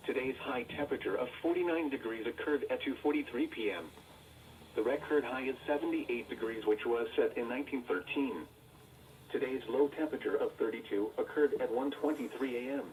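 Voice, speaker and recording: An automated American male voice reading a scripted weather bulletin in a measured, impersonal way through a weather radio speaker. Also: medium pitch, a little mellow. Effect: played through a weather radio speaker. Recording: distant radio speaker with faint noise.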